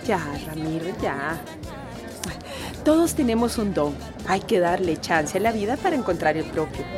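A woman's voice: on the low side, big pitch swings, normal speed. An actress playing a kindly, modest, encouraging woman who cooks, in a radio drama. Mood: consoling. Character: Doña Meche, kindly, modest, encouraging home cook. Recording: music behind the voice.

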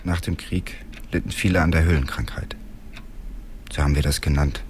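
An actor playing an older local man in a radio drama, telling town lore in a deliberate, knowing way. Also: a bass voice, steady tone, a little hiss or hum. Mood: knowing, grave.

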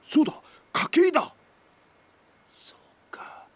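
An actor playing an irritable, suspicious master of a household, blustering and muttering in an anime radio drama. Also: male voice, takes it slowly, close to an everyday voice. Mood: irritated, scheming.

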